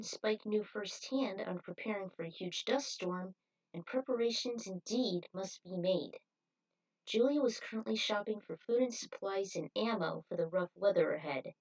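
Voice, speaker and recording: A woman's voice: mid-range voice, darkish tone. A woman reading their own story aloud as narrator, starting with casual introductions. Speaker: author reading their own fan fiction. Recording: voice distant from the mic.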